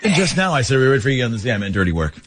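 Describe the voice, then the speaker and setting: A man's voice: medium pitch, big pitch swings, racing, slight background noise. A man hosting a phone-in radio show with jokey, probing banter.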